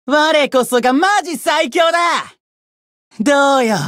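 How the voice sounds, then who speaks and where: A woman voicing a cocky, bratty young demon who boasts pompously in rough slang, in a Japanese game character style. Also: high voice, a little slow, no echo, clean studio sound. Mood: cocky, teasing.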